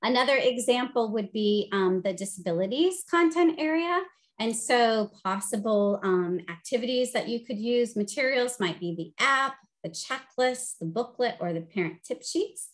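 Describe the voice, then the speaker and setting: A middle-aged woman with a high-pitched voice, teaching a webinar over a video call with an upbeat, friendly delivery full of "um"s. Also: expressive, moderate pace. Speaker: presenter and teacher. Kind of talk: instructional webinar presentation. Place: home office, video call.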